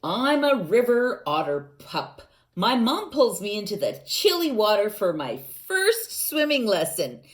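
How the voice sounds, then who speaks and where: A woman voicing an eager young otter pup in a storybook, with a bright, eager reading. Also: medium pitch, big pitch swings, a little slow, few pauses.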